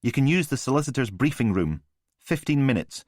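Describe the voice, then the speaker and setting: A man's voice: mid-range voice, big pitch swings, fairly quick, a little mellow, no echo, clean studio sound, voice pushed up. A male narrator voicing a stern woman police sergeant who speaks in clipped, commanding lines.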